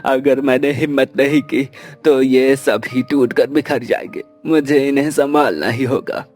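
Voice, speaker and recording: Older village father for a cartoon drama male actor, solemn, halting, steadying his family, music underneath. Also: medium pitch, fairly even intonation, darkish tone, close to an everyday voice. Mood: grieving, consoling. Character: sorrowful, steady village father consoling his grown sons. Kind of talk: Hindi cartoon story voice acting.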